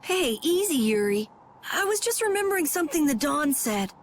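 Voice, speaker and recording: A woman acts out a young boy in a video game. The voice is very high, eager and earnest with a sheepish edge, over faint background noise. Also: very animated, normal speed.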